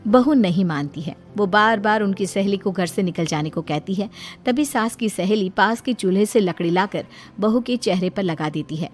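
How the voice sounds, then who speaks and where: A woman narrating a cartoon family story in a plain, steady storytelling way. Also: mid-range voice, normal speed, hardly any pauses, faint music behind.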